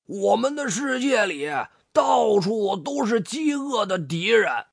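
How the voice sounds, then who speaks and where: A storyteller voicing a kindly old grandfather toad, brave and wily, with a husky voice. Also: mid-range voice, animated, takes it slowly, no echo, clean studio sound, voice pushed up, exaggerated voice. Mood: warm, instructive. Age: older.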